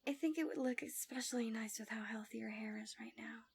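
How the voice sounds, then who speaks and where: A woman voicing a gentle, coaxing, subtly manipulative fantasy villain in an intimate ASMR roleplay, soft and breathy, often near a whisper, close to the mic. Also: fairly high pitch, a little fast. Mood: coaxing, softly probing. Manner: soothing, doting, teasing.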